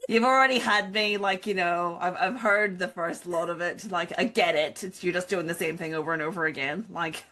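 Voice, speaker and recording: Lower-pitched woman chatting casually. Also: normal speed, hardly any pauses. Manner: animated storytelling with self-quotes, joking. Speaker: book club podcast co-host. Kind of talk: casual chat.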